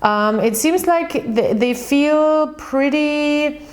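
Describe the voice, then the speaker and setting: A woman's voice: high-pitched, expressive, drawn-out words. Chatty Austrian woman reading tarot. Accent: Austrian German. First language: German. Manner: chatty, rambling, with many ums and restarts. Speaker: tarot reader. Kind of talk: casual monthly astrology reading.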